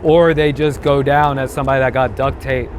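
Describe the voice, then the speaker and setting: A man's voice: medium pitch, fairly even intonation, brisk, a little hiss or hum. A male comedian guest in a casual street chat, telling rambling, profane stories. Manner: rambling storyteller with swearing. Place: outdoors on a city street.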